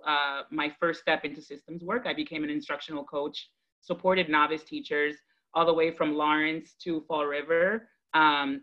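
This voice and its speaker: Earnest woman on a muffled video call. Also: deep voice. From Dominican Republic, raised in Boston from age five. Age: 30s. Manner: earnest, measured, thoughtful with deliberate pauses. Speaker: guest speaker, education advocate. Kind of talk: reflective personal storytelling to an online audience. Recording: dull, lo-fi video call audio.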